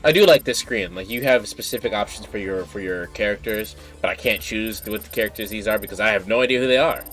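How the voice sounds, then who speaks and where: Low-voiced man streaming a game. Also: fast talker, a bit bright. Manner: casual, offhand asides. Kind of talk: live stream commentary, reading game text aloud. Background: game music.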